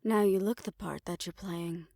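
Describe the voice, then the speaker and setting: A woman's voice: fairly low pitch, fairly even intonation, normal speed, creaky, a little mellow, no echo, clean studio sound. A woman playing a poised, imperious hotel manager in an audio roleplay, speaking formally and with polite condescension. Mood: gracious, smooth. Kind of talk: scripted audio roleplay addressed to the listener.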